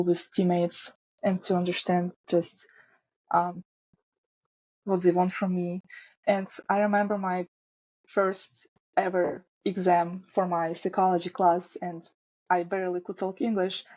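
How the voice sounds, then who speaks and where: A woman's voice: mid-range voice, warm tone, no room sound, highs cut off. A young woman whose first language is Ukrainian, a college volleyball player speaking carefully in simple sentences. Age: young adult. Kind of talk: casual interview answers.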